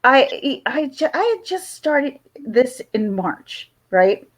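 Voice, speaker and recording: Woman, Philly accent, livestream host, chatty, halting restarts, a bit high-pitched. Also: animated. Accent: Philadelphia. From South Jersey. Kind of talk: casual chat.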